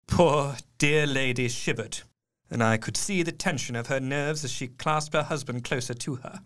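An actor playing a sober, compassionate gentleman doctor in dramatic scripted narration. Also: medium pitch, normal speed, lightly acted. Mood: solemn, sympathetic. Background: music.

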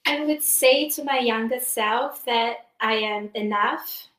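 A woman speaking earnestly as a panel guest, in a steady manner. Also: on the high side, slow pace, echoey room, distant mic. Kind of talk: earnest reflection.

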